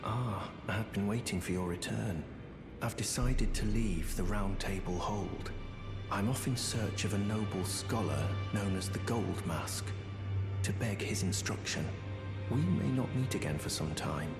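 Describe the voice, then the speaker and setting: Fantasy game role: courteous holy cleric, slightly deep, formal and reverent, roomy echo. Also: male voice, unhurried. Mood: solemn, courteous. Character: devout, courteous male holy cleric, dignified and earnest. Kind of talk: video game character dialogue. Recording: echoey room, music behind.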